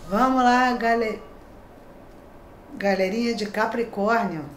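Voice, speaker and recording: A woman's voice: a little high, steady tone, slow, noisy background. Chatty woman reading tarot, echoey room. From Brazil. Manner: chatty, reassuring, tag questions. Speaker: tarot reader. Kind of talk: daily horoscope tarot reading. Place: small decorated room indoors.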